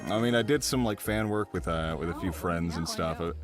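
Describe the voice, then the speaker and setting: A young American man chatting casually in an interview as a voice actor, telling stories in an animated, amused way. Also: deep voice, fairly quick, over music.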